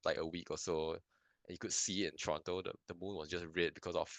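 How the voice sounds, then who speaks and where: A young man from Singapore talks through his answers with a deep, low voice. He hesitates, restarts sentences and fills gaps with "um". The sound is dry and close. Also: little pitch movement, fast, no room sound. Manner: hesitant, many restarts and fillers, thoughtful. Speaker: filmmaker guest. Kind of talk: casual interview answers. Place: lives in Canada.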